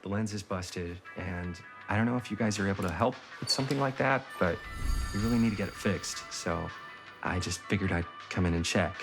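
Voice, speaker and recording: A man's voice: sits low, brisk, music in the background. An actor playing a nervous but jokey young man, an amateur film actor, with an American accent and a casual, hesitant manner in a video game. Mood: awkward, apologetic. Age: young adult. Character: nervous, self-assured-joking teenage guy, amateur actor. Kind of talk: naturalistic video game acting.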